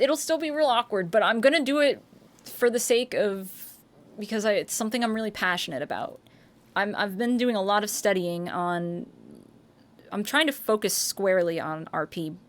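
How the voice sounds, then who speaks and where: An American woman chatting on her live stream in a loose, rambling way. She trips over her own starts, swears freely and breaks into laughter as she goes. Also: mid-range voice. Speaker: streamer and voice actor. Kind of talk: casual live-stream chat.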